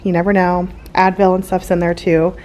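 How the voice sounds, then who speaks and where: A woman's voice: lowish voice, little pitch movement, normal speed, darkish tone, slight background noise. A young woman, an elementary school teacher, chatting casually to her camera in her classroom. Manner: chatty, filler-heavy, upbeat. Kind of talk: casual vlog chat. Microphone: handheld camera.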